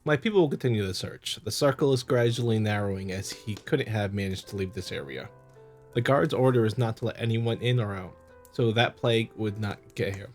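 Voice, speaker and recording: A man's voice: on the low side, fairly quick, a little mellow, faint music behind, lightly acted. A man voicing a polite imperial detective in a visual novel, speaking in a formal, restrained, matter-of-fact way. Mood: grave. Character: polite, measured male imperial detective from a small village.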